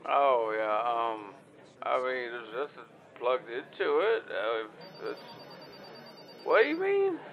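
A man's voice: mid-range voice, very animated, slow pace, gravelly, full character voice. A man playing an anxious, clueless hotline caller in an audio drama, hesitant, flustered and meandering over a muffled line. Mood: unsure, sheepish. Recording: dull, muffled phone-call sound.

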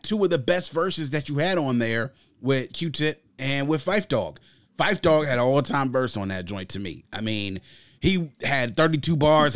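A man talks about albums like an opinionated podcast host. His delivery is casual and slangy, with emphatic points over a mid-pitched voice. The sound is dry, with little room on it. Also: no echo. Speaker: podcast host reviewing music. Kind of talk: casual enthusiastic album commentary.

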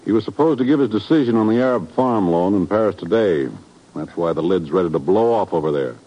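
A deep, mellow intelligence chief in an old radio spy drama. He talks in an urgent, businesslike way, with small hesitations. The sound is a muffled 1950s broadcast. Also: expressive, fast talker. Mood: urgent, grave. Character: gruff, worried intelligence bureau chief, a man.

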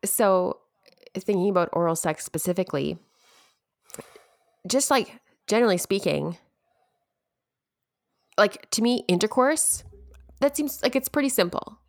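A woman's voice: medium pitch, expressive, measured pace, pauses often, no echo, studio quality. A woman co-hosting a podcast, chatting casually in a reflective, hedging way with frequent laughter. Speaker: podcast co-host. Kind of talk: casual chat.

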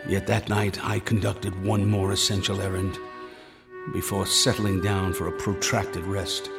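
A man acting in a radio drama as a grave, resolute older doctor and family friend, with a formal, deliberate delivery. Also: low voice, little pitch movement, creaky, over loud music. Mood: grave, weary. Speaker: actor, also narrating.

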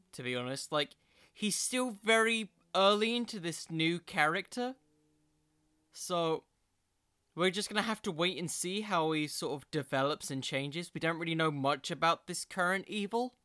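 A man hosting a solo podcast, giving conversational commentary in a musing, halting way with emphatic bursts. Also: a little high, sharp tone, clear, resonant tone, no room sound, studio quality. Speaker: podcast host.

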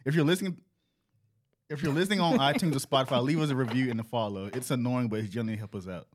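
A man's voice: medium pitch, racing, a little hiss or hum. A man from North Carolina co-hosting a podcast, chatting casually and telling stories. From North Carolina, United States. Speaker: podcast co-host. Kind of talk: casual chat and storytelling.

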